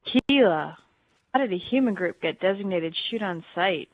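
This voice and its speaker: A woman's voice: lowish voice, lively intonation, normal speed, no echo, rough recording. A woman playing an earnest, plucky young female alien in a sci-fi game audio drama, a little unsure of herself. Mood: indignant. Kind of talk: fan audio drama, game-story character acting.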